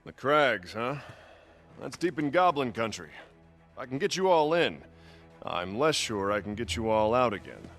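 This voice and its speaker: Wry adventurer, very animated pitch. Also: male voice, measured pace, faint music behind. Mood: wry, cautioning. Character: easygoing, wry male adventurer. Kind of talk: video game cutscene acting.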